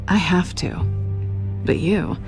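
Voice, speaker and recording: Sly, teasing American cat burglar. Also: female voice, fairly low pitch. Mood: taunting, cool. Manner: teasing, coy, measured. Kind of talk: video game cutscene acting. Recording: background music under the voice.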